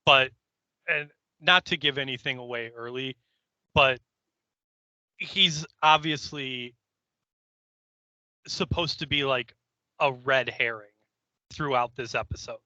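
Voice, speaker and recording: A man's voice: medium pitch, slow, long pauses, pushed and bright, dry recording. A man co-hosting a podcast, chatting casually in short, conversational remarks. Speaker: podcast co-host. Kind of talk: casual chat. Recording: slightly dull, dry close recording.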